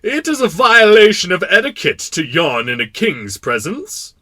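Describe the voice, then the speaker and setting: Booming, pompous storybook king. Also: wildly swooping pitch, sharp tone, no echo, heavily acted. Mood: pompous, commanding. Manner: pompous, imperious, booming declarations with drawn-out words. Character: proud, kindly, pompous absolute monarch of a tiny planet.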